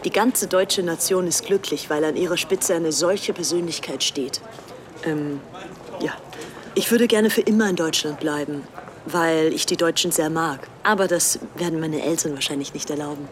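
A woman's voice: low voice, normal speed, a crowd in the background, close to an everyday voice. An actress in a radio drama playing a rebellious, devoted young upper-class British woman. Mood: gushing.

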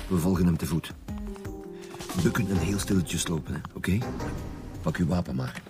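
A man's voice: sits low, normal speed, over music, close to an everyday voice. Commanding Flemish police inspector played by a man. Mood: stern, pressing. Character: gruff, commanding male police inspector leading a homicide squad. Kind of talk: radio drama acting.

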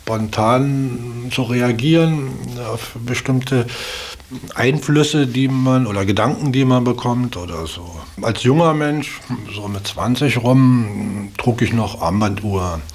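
A middle-aged man reflecting in unscripted talk as an interviewee, halting and repeating words as he searches for phrasing. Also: medium pitch.

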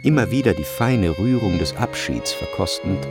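A man narrating a literary radio drama in scripted, measured and expressive storytelling. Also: low voice, over loud music.

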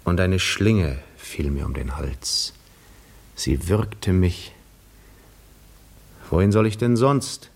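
An actor playing a weary, worldly wandering castaway hero, middle-aged and gently teasing, with measured, musing delivery in a 1950s German radio drama. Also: male voice, low-pitched, lively intonation, creaky, husky, exaggerated voice. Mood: brooding.

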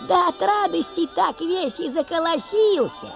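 A woman narrating a folk tale as an animated storyteller in an audio play. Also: very high-pitched, unhurried, creaky, over music, exaggerated voice.

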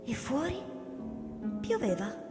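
A woman narrator giving a measured, theatrical literary reading for radio drama. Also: high-pitched, very animated. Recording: echoey hall reverb, music underneath. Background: music.

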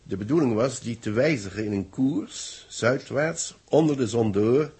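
An actor playing an authoritative man dictating the story of a space mission, measured and formal, in a 1960s radio drama. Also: mid-range voice, warm tone, slightly rough. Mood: explanatory. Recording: muffled-voiced old 1960s radio recording.

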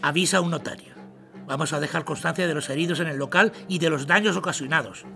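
Casting: actor as formal, resolute Spanish leader, creaky but forceful voice, audio drama. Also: male voice, on the high side, fast, faint music behind. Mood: resolute, stern. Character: resolute, formal man leading a workers' association.

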